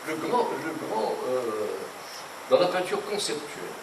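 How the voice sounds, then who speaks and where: Animated older French man lecturing. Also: on the high side, measured pace. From France. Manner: animated, indignant, digressive storyteller. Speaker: painter giving a talk. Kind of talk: rambling lecture. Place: conference hall. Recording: echoey room, distant mic, noisy.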